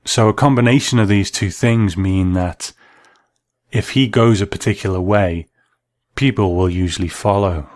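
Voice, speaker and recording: A man presenting explanatory commentary on vintage drama in a measured, reflective way with deliberate pauses. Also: deep voice, dry recording, clean studio sound. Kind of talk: explanatory commentary and plot summary.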